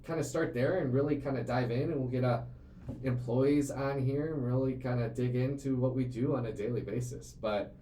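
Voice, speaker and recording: A man in his late twenties tells his story in a loose, earnest, rambling way, full of fillers and restarts. His voice sits in a middle pitch. The room is echoey, with faint ambient noise underneath. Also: normal speed, dark tone. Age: 20s. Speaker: CEO and show host. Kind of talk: casual conversational podcast chat.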